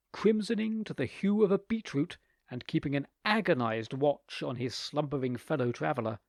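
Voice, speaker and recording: Man reading a comic tale; lively, theatrical, fairly high voice, dry studio sound. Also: breathy edge, no room sound. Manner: animated, theatrical, expressive. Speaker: reader of short stories. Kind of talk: expressive story reading.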